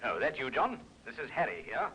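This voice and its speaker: An actor playing a jovial, sociable colonel in an old radio drama. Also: male voice, mid-range voice, huge pitch swings, brisk. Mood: affable. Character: hearty, jovial British military colonel, smoothly sociable. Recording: very noisy, muffled old radio recording.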